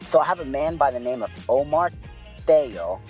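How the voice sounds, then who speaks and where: A man with a Puerto Rican accent, co-hosting a podcast and telling stories in a relaxed, chatty way. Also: a little high, moderate pace, faint music behind. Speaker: podcast co-host. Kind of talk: casual podcast storytelling.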